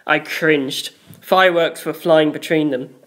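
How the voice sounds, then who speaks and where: A woman's voice: deep voice, unhurried, a bit bright. A woman reading a scripted first-person story as an audiobook narrator.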